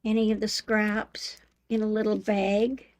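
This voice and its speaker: An older woman hosting a live sewing demonstration, talking in a homey, unhurried way with many ums, her voice slightly hoarse. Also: medium pitch, little pitch movement, no room sound. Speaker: sewing channel host. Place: home sewing room.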